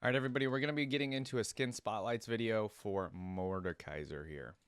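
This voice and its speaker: A man's voice: lowish voice, fast, clean tone, no room sound, studio quality. A young man hosting a reaction video, musing aloud in informal, casual commentary.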